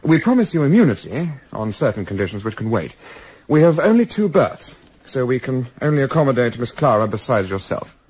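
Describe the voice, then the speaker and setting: Young English gentleman adventurer male actor, husky edge, lively intonation, clipped and formal, cool-headed, radio drama. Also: medium pitch, fairly quick, poor audio. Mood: cool, resolute. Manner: clipped, formal, self-assured. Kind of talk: radio play acting.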